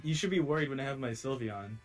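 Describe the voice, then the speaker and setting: A man's voice: mid-range voice, expressive, fast, soft background music. A man chatting casually with friends as he plays a video game. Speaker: player in a group video game match. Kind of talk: casual gameplay chat.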